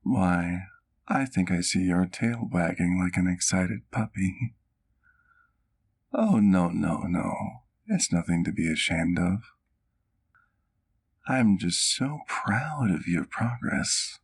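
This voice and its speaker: Casting: male actor for a genteel, doting vampire doctor in ASMR roleplay, low-pitched and unhurried, affectionate and gently teasing. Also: lively intonation, heavily acted. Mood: apologetic. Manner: genteel, formal diction, affectionate. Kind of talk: intimate ASMR roleplay acting.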